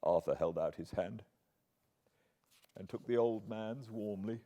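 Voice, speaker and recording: A man's voice: lowish voice, measured pace, mellow. A man with a British accent reading a classic novel aloud as an audiobook narrator, in a dramatic literary style. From Britain.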